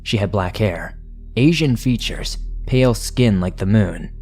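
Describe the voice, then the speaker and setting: A man narrating listener-submitted horror stories in the first person, in a steady, measured reading. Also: fairly low pitch, slightly rough, faint music behind.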